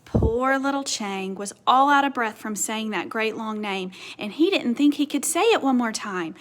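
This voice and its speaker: A woman reading a story aloud to children, teacherly, asking questions and dropping into hushed asides. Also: a little high, animated, normal speed. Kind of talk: animated read-aloud with asides to young listeners.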